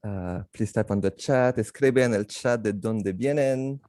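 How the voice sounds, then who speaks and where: A man from France co-hosting a video call, friendly and relaxed, often laughing. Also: fairly low pitch, measured pace, no room sound, clean studio sound. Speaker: program co-host. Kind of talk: casual video call chat.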